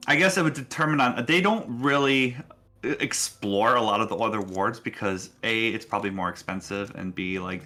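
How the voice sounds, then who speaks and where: A man casually narrating a tabletop roleplaying game as game master, explaining things in a halting, informal way. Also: lowish voice, bright, forceful tone.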